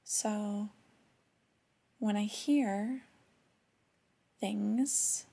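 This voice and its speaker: A woman playing a displeased but calm female boss in a soft-spoken ASMR roleplay, with a breathy voice and measured, pausing delivery. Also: mid-range voice, exaggerated voice. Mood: stern, measured. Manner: hesitant, measured, with drawn-out pauses.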